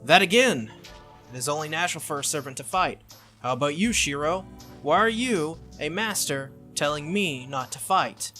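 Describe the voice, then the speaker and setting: A man's voice: fairly high pitch, animated, moderate pace, bright, forceful tone, soft background music. A man voicing a stern, proud female knight spirit from a visual novel, speaking formally and insistently. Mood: firm, challenging.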